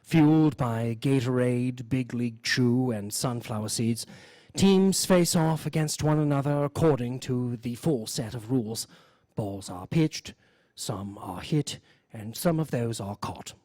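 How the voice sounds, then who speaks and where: Deadpan male narrator, dry recording. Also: medium pitch, unhurried, no room sound. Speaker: host of a live variety radio show. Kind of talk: mock nature-documentary scripted narration.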